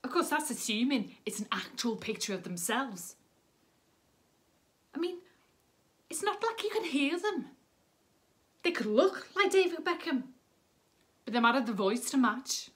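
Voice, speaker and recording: A woman's voice: sits high, sing-song, measured pace, pauses often, a bit bright, husky, heavily acted. A woman performing a comic monologue as a fussy, dry-humoured online dater telling of her romance. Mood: wry. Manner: wry, pedantic, matter-of-fact.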